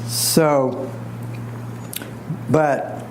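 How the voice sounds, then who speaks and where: Male lecturer, soft voice, very unhurried and halting delivery, dry self-deprecating wit, live recording with faint background noise. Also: mid-range voice. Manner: unhurried, halting with pauses and repeats; wry, self-deprecating humor. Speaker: astrologer and tarot reader giving a lecture. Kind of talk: informal spoken lecture to a live group.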